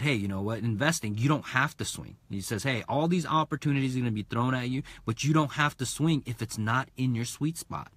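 A man's voice: mid-range voice, fairly bright tone, slightly rough, dry recording. Chatty man talking in a car. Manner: chatty, many fillers and rhetorical "right?". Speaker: real estate investor and vlogger. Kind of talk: casual live-stream monologue. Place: inside a parked car. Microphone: phone held at arm's length.

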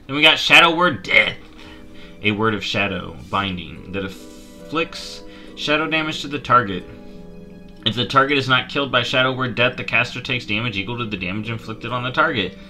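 A man in his thirties talks into a headset mic, bright and forceful in tone. He reads game text aloud and jokes about it with playful mock outrage. Faint music plays underneath. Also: mid-range voice, brisk. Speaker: streamer. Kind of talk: reading and reacting to game talents on a live stream. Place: small dark room at home.

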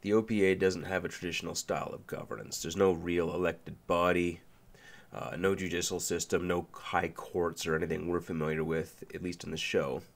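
Deep gravelly man, stuffed-up nasal voice. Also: normal speed. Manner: relaxed, loose with fillers and restarts. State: nasal from a sinus flu. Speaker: video essay host. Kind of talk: casual explainer narration.

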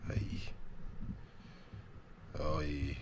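A man repairing a fishing reel at his workbench, talking casually and thinking out loud as he works. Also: rumbling low, very slow, noisy background. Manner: relaxed, thinking aloud, self-deprecating. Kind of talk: casual how-to narration while working. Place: workbench, indoors.

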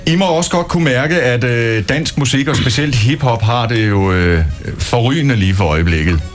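A man's voice: medium pitch, soft background music. A man hosting a casual morning radio interview from a small studio, talking into a studio microphone. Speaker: radio host.

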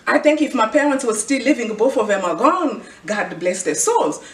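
A woman's voice: a little high, animated, moderate pace. A middle-aged woman from the Congo with a Congolese accent, giving an earnest, preachy motivational talk to camera and often repeating a rhetorical question. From Congo, Central Africa. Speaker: fashion vlogger and clothing designer. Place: indoor room with clothing rack. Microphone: far camera. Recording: echoey room from far off.

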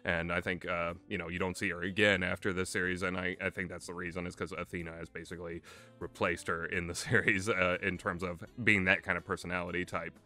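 Bright-voiced man rambling about games. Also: low-pitched, flat delivery, a little fast. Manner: conversational, opinionated, emphatic. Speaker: video game reviewer. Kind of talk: casual rambling commentary. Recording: music playing under the voice. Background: background music.

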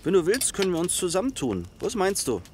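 A man's voice: high voice, wildly swooping pitch, fast talker, a little hiss or hum. An actor playing an astonished, welcoming male survivor in a German zombie audio drama. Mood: friendly, inviting.

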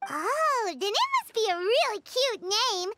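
Tiny childlike fairy, extremely high squeaky voice, swooping, excitable, game music behind. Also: female voice, unhurried, very noisy, heavily acted. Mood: curious, proud. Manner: chirpy, exclaiming, refers to herself in third person. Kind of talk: anime-style video game dialogue.

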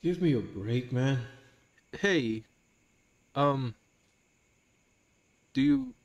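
A man voicing a shy, awkward high school boy in an audio roleplay, hesitant, self-conscious and stammering. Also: wildly swooping pitch, extremely slow, gravelly, no echo, dull audio, heavily acted. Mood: shy, earnest. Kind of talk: amateur audio roleplay acting.

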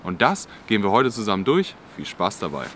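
Lively young man teaching outdoors. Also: fairly low pitch, a little fast, noisy background. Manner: explanatory, lively, casual with filler "ja". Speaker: outdoor channel host. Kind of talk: explaining outdoor clothing to camera. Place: forest outdoors in drizzle.

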